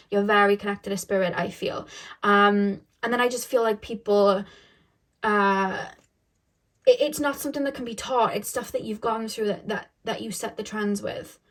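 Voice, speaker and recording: A young woman talks through a tarot reading in a loose, casual way, circling her points with lots of fillers and restarts. Her voice sits at an ordinary middle pitch and turns a little shaky as she goes. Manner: rambling, many fillers and self-corrections. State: voice getting shaky. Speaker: tarot reader.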